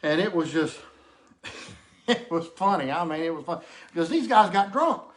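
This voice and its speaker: A man's voice: on the high side, expressive, normal speed. A man telling a casual comic anecdote to camera in a rambling, folksy way, often repeating himself. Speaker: storyteller.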